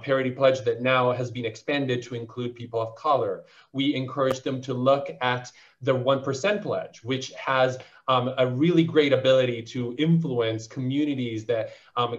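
A man answering a panel question over a video call, his voice at an ordinary middle pitch. He speaks articulately but keeps restarting and filling pauses with um. The audio is somewhat dull and lo-fi. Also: steady tone. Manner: articulate, fluent with frequent um fillers and restarts. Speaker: panelist, venture investor.

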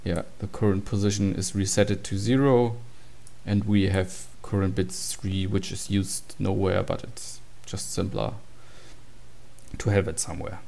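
A man's voice: sits low, level tone, a little slow, gravelly, dark tone. A man giving an informal, matter-of-fact tutorial walkthrough of program code. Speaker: tutorial presenter.

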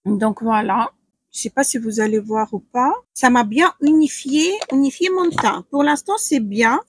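A woman's voice: on the high side, measured pace, slight background noise. Ukrainian woman chatting through a makeup tutorial. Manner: chatty, hesitant with many fillers while applying makeup. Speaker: beauty vlogger. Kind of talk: casual makeup tutorial chat. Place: small room at home, indoors.

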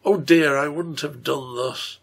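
Man acting a wretched, gravelly, guilt-ridden father. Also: mid-range voice, animated, normal speed, a bit bright, heavily acted. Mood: bitter self-reproach. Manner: anguished, pleading, voice breaking.

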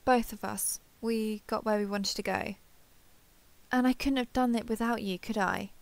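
A woman playing a warm, earnest female alien starship captain in a sci-fi roleplay audio drama. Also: medium pitch, fairly even intonation, moderate pace, vocal fry, dry recording, close to an everyday voice. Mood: fond, flustered. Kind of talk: roleplay audio drama, one-sided dialogue.

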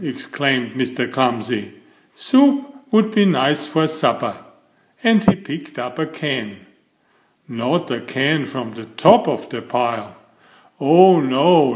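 Animated, unhurried male storyteller. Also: mid-range voice, roomy sound. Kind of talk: picture book read aloud.

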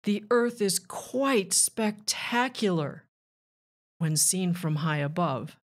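A woman reading a rhyming children's book aloud with measured, deliberate phrasing. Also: on the low side, expressive. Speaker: children's author and narrator.

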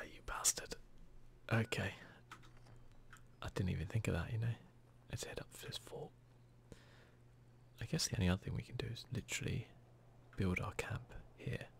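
A man doing hushed, murmured ASMR commentary over a video game, talking to himself close to the microphone. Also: fairly low pitch, creaky. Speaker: gaming video host.

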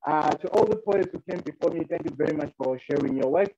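A man's voice: fairly high pitch, fast, vocal fry, dark tone, breathy edge, dull audio. A young man with a Zimbabwean accent, a poet speaking casually and repeating his words on an internet video call. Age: young adult. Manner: repeats words, friendly greetings. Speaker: poet and rapper. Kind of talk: casual introduction before reciting.

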